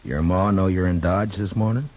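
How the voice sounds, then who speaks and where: An actor playing a firm, patient frontier marshal with an American accent in a 1950s radio Western, authoritative, dry and measured. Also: male voice, low voice, a little mellow. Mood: patient, easing. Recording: muffled, hissy 1950s radio recording.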